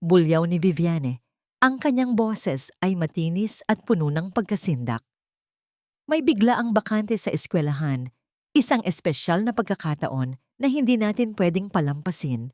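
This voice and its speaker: A woman with a Filipino accent tells a dramatic audio story. Her voice sits on the low side and sounds dry and close, with no room around it. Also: very animated, moderate pace, mellow, no room sound. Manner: dramatic storytelling, voicing characters' lines. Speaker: narrator. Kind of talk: scripted audio drama narration.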